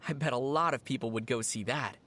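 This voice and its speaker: An actor playing a calm young traveler in video game dialogue, with measured explanations and a dry, deadpan, teasing wit. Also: medium pitch, close to an everyday voice. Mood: amused, teasing.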